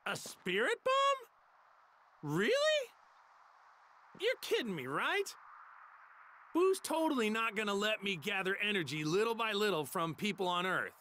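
An actor playing a cheerful, good-natured martial arts hero with an eager, earnest way of talking in an anime game. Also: male voice, sits high, sing-song, a little slow, long pauses, sharp tone, slight background noise, exaggerated voice. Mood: doubtful. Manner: eager, earnest, plain-spoken. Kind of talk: English dub of an anime video game cutscene.

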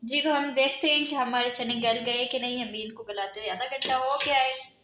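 A woman hosting a home cooking channel, calmly walking through each step of a recipe and often pausing to check in with viewers. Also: high-pitched. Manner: calm, instructive, often ends steps with a check-in. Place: home kitchen, indoors. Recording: echoey room with sizzling noise. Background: frying and sizzling from the pan.

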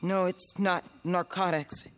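A woman's voice: lowish voice, flat delivery, measured pace, a little hiss or hum, lightly acted. An actress playing a firm, compassionate female medic from a survivor camp in a video game. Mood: firm, indignant. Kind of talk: cinematic video game acting.

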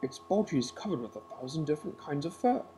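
A woman voicing the gruff, commanding men of a storybook fairy tale, kings and hunters. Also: low-pitched, lively intonation, quick pace, mellow, slight background noise, voice pushed down.

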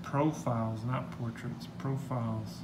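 A young man casually talking to himself while opening trading card packs at a table indoors. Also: measured pace. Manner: relaxed, muttering to himself, low-key. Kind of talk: casual solo commentary. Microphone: camera across the table. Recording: loud crinkling and room noise.

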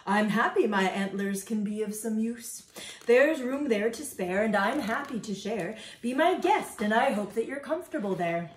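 A woman voicing a gentle, big-hearted male moose in a storybook, mild and too polite to refuse. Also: a little high, animated, normal speed, reverberant, a little hiss or hum, lightly acted. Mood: happy, welcoming. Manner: mild, accommodating.

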